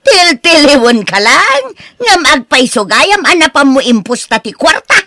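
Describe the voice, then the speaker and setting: An actress plays an exasperated Ilocano wife in a radio drama, her voice fairly high and sharply forceful. She nags and reproaches in an Ilocano accent, recorded close and dry. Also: big pitch swings, unhurried, dry recording, full character voice. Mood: angry scolding. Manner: nagging, reproachful. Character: scolding, exasperated wife of a gambler.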